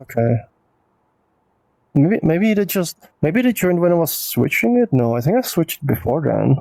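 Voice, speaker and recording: Czech guy rambling thru stream questions, gravelly, kinda mellow, lots of ums. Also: fairly high pitch, sing-song, unhurried, dry recording. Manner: rambling, filler-heavy, reads questions aloud. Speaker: host answering viewer questions. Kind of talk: casual livestream Q&A.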